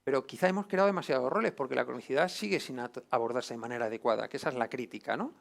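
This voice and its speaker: A man's voice: mid-range voice, very fast, gravelly. A man with a Castilian accent from Spain lecturing informally, with colloquial emphasis and frequent tag questions to the group. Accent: Castilian Spanish. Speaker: lecturer, doctor.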